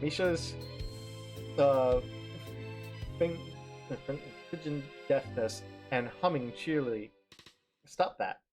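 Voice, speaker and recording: A man reading a visual novel aloud on a stream, narrating haltingly and often repeating words as he goes. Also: medium pitch, a little mellow. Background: music.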